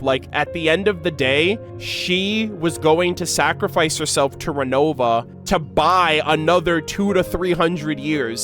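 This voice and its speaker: A man's voice: on the high side, a bit bright. A man hosting a game reaction video, commenting and reading story text aloud over music.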